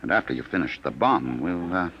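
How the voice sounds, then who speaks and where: Deep-voiced, smugly menacing agent. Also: male voice, lively intonation. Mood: smug menace. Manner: smug, deliberate, condescending. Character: coolly menacing, patient male agent from the future. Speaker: actor in a radio drama. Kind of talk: 1950s radio acting. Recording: muffled old radio drama recording.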